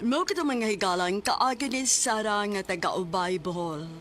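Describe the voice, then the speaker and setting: A female radio host with a Cebuano accent and a medium-pitched voice. She delivers scripted program announcements, with music clearly playing underneath. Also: normal speed.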